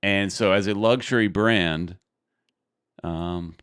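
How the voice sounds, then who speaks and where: A man's voice: low voice, takes it slowly, a bit bright, clear, resonant tone, dry recording, clean studio sound. A man hosting a podcast, chatting casually and rambling as he thinks aloud. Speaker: podcast host. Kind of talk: casual chat.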